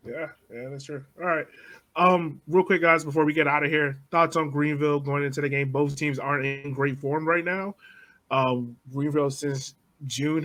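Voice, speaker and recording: A man hosting a soccer podcast, putting questions to his co-hosts in a brisk, casual way. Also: on the high side, a bit bright. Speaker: podcast host.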